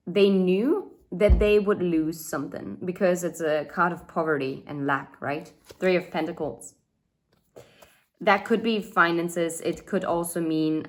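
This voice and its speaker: Woman, 30s, lowish voice, unhurried and chatty, tarot reader thinking out loud. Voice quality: says she has a loud voice. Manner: chatty, thinks aloud with fillers and asides. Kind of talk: casual tarot reading monologue.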